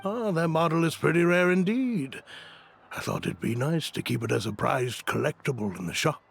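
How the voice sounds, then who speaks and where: A man playing a kindly, grandfatherly old shopkeeper in an anime game, with a gravelly voice. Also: a little high, lively intonation, moderate pace, faint music behind, heavily acted. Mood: obliging. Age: older.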